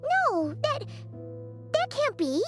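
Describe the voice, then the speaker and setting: A woman voicing a tiny, childlike, bossy and excitable female companion in a video game, squeaky and emphatic. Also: slow, full character voice. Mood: alarmed. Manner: emphatic, exclaiming. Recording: music playing behind the voice.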